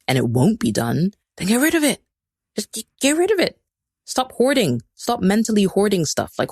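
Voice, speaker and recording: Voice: medium pitch, darkish tone, no room sound, studio quality. A podcast host from Kenya talking casually on a live stream, rambling and self-deprecating, with lots of "like" and motivational asides. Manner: rambling and chatty, heavy use of "like", laughs at self.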